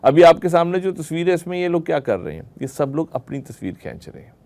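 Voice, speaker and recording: A man's voice: a little high, very animated, fast talker, gravelly, darkish tone. A man giving a religious lecture to a group, explaining through rhetorical questions and everyday examples. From Pakistan.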